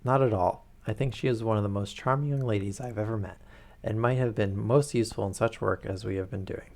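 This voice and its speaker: A man reading aloud the lines of a cool, aloof male detective in a measured, dry way. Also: on the low side, creaky, a little mellow.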